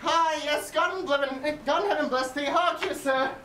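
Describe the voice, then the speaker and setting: A woman's voice: high-pitched, normal speed, fairly bright tone. A woman playing a fussy, bawdy old nurse on stage in Shakespeare, rambling, groaning and teasing. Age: older. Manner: rambling, exclaiming, theatrical groaning and chuckling. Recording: echoey room, distant mic, faint noise.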